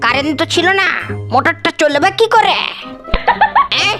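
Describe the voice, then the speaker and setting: A woman's voice: extremely high pitch, expressive, moderate pace. A woman voicing a whiny, cheeky cartoon schoolboy with an aggrieved manner. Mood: making excuses. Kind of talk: Bengali comedy cartoon dubbing. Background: music.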